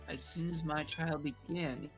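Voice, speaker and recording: A man voicing an earnest young defence lawyer in an amateur video game dub, with solemn, halting delivery. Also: on the high side, steady tone, over music, low-quality recording. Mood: sincere.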